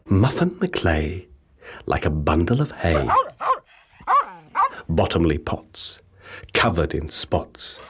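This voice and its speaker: A man reading children's rhyming stories as an audiobook narrator, with measured, rhythmic, theatrical delivery. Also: deep voice, very animated, loud music behind the voice. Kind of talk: scripted children's storybook narration in rhyming verse.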